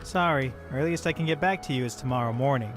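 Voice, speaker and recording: Brisk, busy male mechanic, played by an actor. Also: mid-range voice, lightly acted. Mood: businesslike, apologetic. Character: busy, matter-of-fact male garage mechanic. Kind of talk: visual novel game voice acting. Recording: game dialogue over clear background music.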